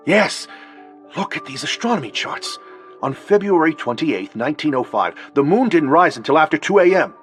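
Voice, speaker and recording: An actor playing an obsessed male doctor poring over files on an old murder, muttering to himself and breaking into excited shouts, with music beneath. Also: on the high side, moderate pace. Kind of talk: radio drama acting.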